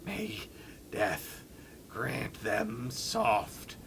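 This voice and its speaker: A man's voice: mid-range voice, big pitch swings, drawn-out words, vocal fry, slight background noise, voice pushed down, full character voice. A man voicing a fussy, troubled adventurer who is turning into a sea creature, reading a game journal theatrically. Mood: horrified, repulsed. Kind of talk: dramatic read-along of game journal.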